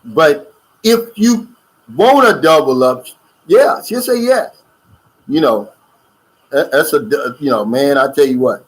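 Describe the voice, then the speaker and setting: Middle-aged guy rambling on a livestream, laid back, lots of uh and you know, voice sits a bit high. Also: animated, takes it slowly, pauses often, poor audio. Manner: loose, chatty, drawling with fillers and asides. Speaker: YouTube channel host. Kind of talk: casual livestream chat reading viewer comments. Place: lives in the Philippines.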